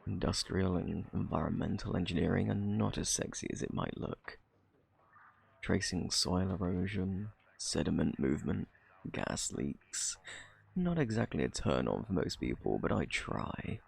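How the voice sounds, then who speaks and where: Man playing a husky, gravelly flirty British thief. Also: deep voice, animated, a little slow, exaggerated voice. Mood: wry. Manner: teasing, sarcastic, theatrical drawl. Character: flirty, cocky, sardonic male thief under interrogation. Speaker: audio roleplay performer. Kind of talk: ASMR roleplay acting.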